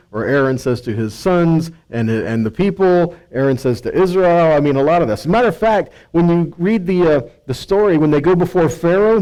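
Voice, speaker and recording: A man's voice: on the high side, darkish tone, poor audio. A man giving a conversational Bible teaching, speaking emphatically, quoting and paraphrasing dialogue and checking in with his listeners. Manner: emphatic, quotes and paraphrases dialogue, rhetorical asides and check-ins. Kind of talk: conversational scripture teaching, sermon.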